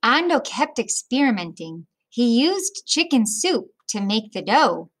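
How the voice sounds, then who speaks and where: A middle-aged woman reading a picture book aloud to children like a teacher, with expressive, deliberate phrasing. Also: fairly high pitch. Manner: deliberate phrasing with explanatory asides to young listeners.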